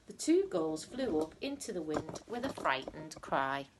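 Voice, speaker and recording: A woman reading a children's story aloud like a teacher, in a dramatic, deliberate storytelling manner. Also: deep voice, big pitch swings, background noise. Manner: dramatic, deliberate storytelling with emphatic repetition and hushed phrases.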